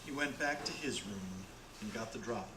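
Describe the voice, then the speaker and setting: A man's voice: mid-range voice, a little fast. A man narrating a radio play in a dramatic storytelling style. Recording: roomy with clear background noise.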